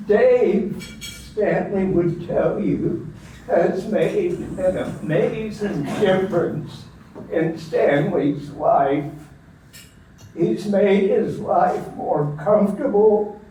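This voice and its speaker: Brief: a man hosting a church talk, very slow delivery, roomy distant recording with faint background noise. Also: high voice, warm tone. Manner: slow, deliberate speaker addressing a room. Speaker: interviewer at a church men's gathering. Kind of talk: live introduction to an audience. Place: large echoey meeting room. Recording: echoey room from far off, faint noise.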